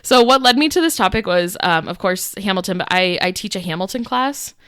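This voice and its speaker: A young adult woman co-hosting a podcast in casual chat. She talks loudly, laughs often and fills her talk with "like" and "um". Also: medium pitch, animated, a little fast, smooth, no room sound, clean studio sound. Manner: loud, laughs often, chatty with fillers. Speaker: podcast co-host. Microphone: podcast microphone.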